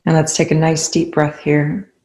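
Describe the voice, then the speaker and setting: A calm, unhurried speaker leading a guided meditation in soothing spoken instructions with long pauses. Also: mid-range voice.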